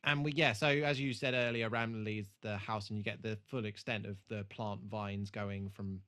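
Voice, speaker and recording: A man's voice: on the low side, fairly even intonation, moderate pace, pushed and bright, smooth. A man co-hosting a podcast in a casual, rambling, enthusiastic and sweary way. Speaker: podcast co-host. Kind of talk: casual chat, reviewing a TV show.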